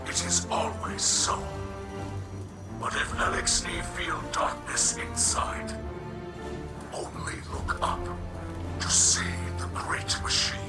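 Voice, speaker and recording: A gentle, wise alien leader for a game cutscene, speaks in a whispery, gravelly rasp. The phrasing is slow and formal, rising and falling widely, with music underneath. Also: male voice, a little high, heavily acted. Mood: solemn, rousing. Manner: measured, formal, reverent phrasing. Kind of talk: scripted game cutscene dialogue.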